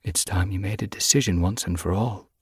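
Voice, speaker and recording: A man voicing a wise old female cat in an audiobook story, stern but caring, with measured, admonishing speech. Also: low voice, breathy edge, no room sound, studio quality. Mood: stern, resolute.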